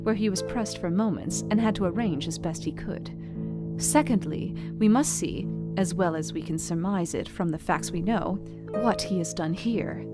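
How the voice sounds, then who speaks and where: A woman playing an intelligent young Victorian wife, narrating her journal in a measured, precise and formal manner. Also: lowish voice, loud music behind the voice, lightly acted. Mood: composed, analytical. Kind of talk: dramatized scripted journal narration.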